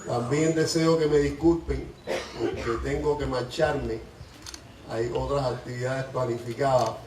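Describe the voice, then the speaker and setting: A man's voice: mid-range voice, steady tone, moderate pace. Cuban man, distant in an echoey, noisy space. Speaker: ambassador. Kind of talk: short formal greeting speech. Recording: echoey, distant mic, loud noise.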